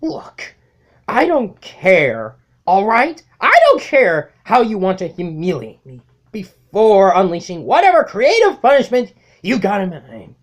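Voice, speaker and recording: A man's voice: wildly swooping pitch, a little slow, full character voice. A man voicing an anguished, beaten robot lieutenant in a cartoon-style comic dub, with strained, pleading delivery. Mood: desperate, fed up. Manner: high, strained, pleading outbursts. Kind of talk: amateur comic dub acting.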